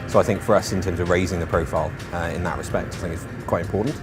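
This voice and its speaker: A low-voiced man talks quickly in an informal business interview, representing a manufacturer. Music plays clearly behind his voice. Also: steady tone.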